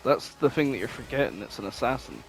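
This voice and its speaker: Man, mid-pitched voice, casual podcast chat, rambling, sweary, animated, music in the background. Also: fast talker, gravelly, low-quality recording. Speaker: podcast co-host.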